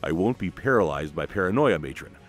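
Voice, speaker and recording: A curt, proud king from a comic-book story, acted out by a male narrator. He speaks low and measured, distrustful and unbending. Faint music plays beneath his clipped words. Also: animated. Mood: stern.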